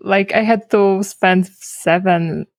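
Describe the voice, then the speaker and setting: Woman, flat and hesitant. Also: mid-range voice, slow pace, no echo. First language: Polish. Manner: hesitant, many restarts and "like" fillers. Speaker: guest, SEO consultant. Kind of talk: casual interview.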